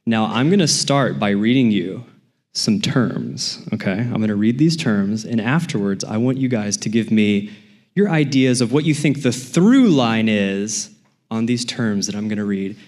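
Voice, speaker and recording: A man's voice: on the low side, animated, a little slow, darkish tone. A man hosting a live podcast on a conference stage, playful and upbeat as he talks to the audience. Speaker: podcast host.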